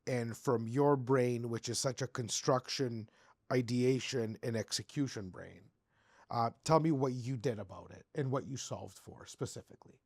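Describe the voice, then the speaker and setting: A man from Greece hosting a podcast interview, animated and building his points with rising emphasis. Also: mid-range voice, normal speed, no room sound, studio quality. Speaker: podcast host. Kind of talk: conversational interview.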